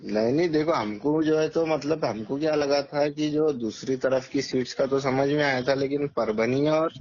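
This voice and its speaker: A man's voice: medium pitch, moderate pace, a little mellow. A man with a Marathwada Dakhni accent asking questions informally over a recorded phone call, in a hesitant, roundabout, probing way. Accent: Marathwada Dakhni Hindi-Urdu. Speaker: caller questioning the candidate.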